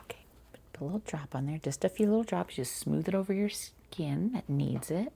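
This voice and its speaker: A woman quietly playing a skincare consultant in an ASMR roleplay, chatting casually and reassuringly in a soft, breathy voice that often slips toward a whisper. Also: deep voice, lively intonation, a little fast, background noise. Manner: casual, rambling, reassuring the client.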